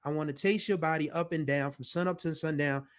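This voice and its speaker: Guy in his 40s doing a solo podcast, kinda high voice, laid back and rambly, lots of uh and you know. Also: a little fast. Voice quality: deeper voice. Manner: relaxed, rambling, with frequent fillers. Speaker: podcast host. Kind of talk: casual nostalgic monologue.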